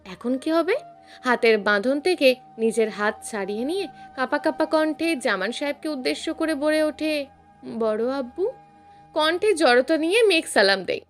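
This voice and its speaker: A woman's voice: sits high, expressive, moderate pace, soft background music. A woman reading a scripted dramatic story as its narrator.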